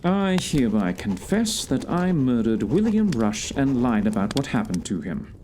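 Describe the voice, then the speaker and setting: A man's voice: medium pitch, very animated, normal speed, a little mellow, slight background noise, close to an everyday voice. A man acting a shrewd, composed, commanding detective in a formal, precise audio theatre style. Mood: commanding, methodical.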